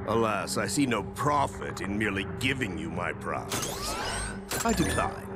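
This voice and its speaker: Casting: mercenary robot villain, low and gravelly, swooping theatrical mockery, game cutscene with loud score. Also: male voice, big pitch swings, unhurried. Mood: smug. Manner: mocking, theatrical, smug. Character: sardonic, greedy male robot bounty hunter villain. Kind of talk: video game cutscene voice acting. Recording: loud music under the voice.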